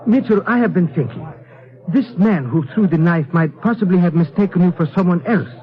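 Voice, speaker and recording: An actor playing a courteous, watchful Middle Eastern guide in a radio drama, with formal, precise phrasing. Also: male voice, high-pitched, animated, brisk. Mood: explaining. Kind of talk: old-time radio drama acting. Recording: tinny, band-limited old radio recording.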